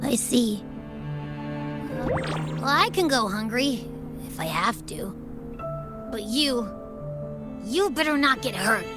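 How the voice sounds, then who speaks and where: High, slow-talking childlike little creature. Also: female voice, animated, over music. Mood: resigned, caring. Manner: hesitant, childlike, informal speech. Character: small innocent hungry creature companion, childlike and apologetic. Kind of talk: video game voice acting.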